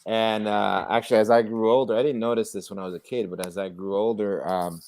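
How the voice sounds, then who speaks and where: A man's voice: fairly low pitch, fairly even intonation, fairly quick, a little mellow, no room sound. A middle-aged man from Cuba speaking as a panel guest over a video call, hesitant with many fillers. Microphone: video call with earphones.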